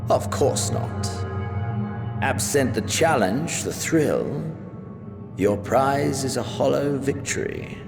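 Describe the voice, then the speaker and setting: An actor playing a proud, battle-hungry villain in a video game, brooding and menacing. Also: male voice, medium pitch, animated, slow pace, vocal fry. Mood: cold, proud. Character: proud male villain obsessed with the thrill of battle. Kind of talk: dramatic video game cutscene acting. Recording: loud music behind the voice.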